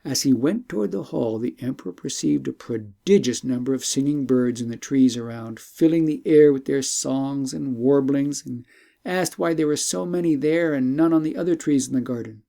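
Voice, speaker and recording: A storyteller reading a classic tale as an audiobook, in a measured, formal and expressive narrating manner. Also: mid-range voice, gravelly.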